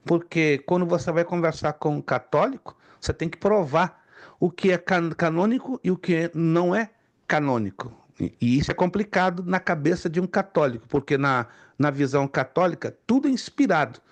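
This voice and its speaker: A man's voice: on the high side, animated, moderate pace. A man teaching a theology class, wandering into stories and putting questions to his students. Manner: digressive, asks the class questions. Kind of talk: classroom lecture.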